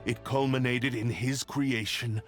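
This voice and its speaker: A man's voice: mid-range voice, fairly even intonation, lightly acted. A man acting in a video game as a proud, bitter sword with a mind of its own, speaking grandly and formally. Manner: grandiose, formal, measured speech. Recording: game audio under loud music.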